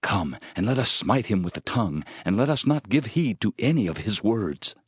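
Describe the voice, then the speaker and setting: Man acting a husky, scheming conspirator. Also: lowish voice, very animated, normal speed, dry recording, studio quality. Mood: scheming, hostile. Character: scheming male conspirator plotting against a prophet. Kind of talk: dramatized scripture reading.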